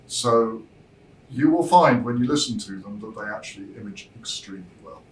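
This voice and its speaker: A man giving an informal product presentation as a designer, explaining in a measured way and pausing mid-thought. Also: lowish voice, expressive. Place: demo room at a hi-fi show, indoors. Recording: roomy, distant mic, noisy show room.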